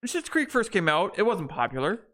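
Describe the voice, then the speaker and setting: A man's voice: high-pitched, very animated, brisk, sharp tone. A young man co-hosting a podcast, chatting casually and rambling with many restarts and fillers. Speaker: podcast co-host. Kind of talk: casual chat.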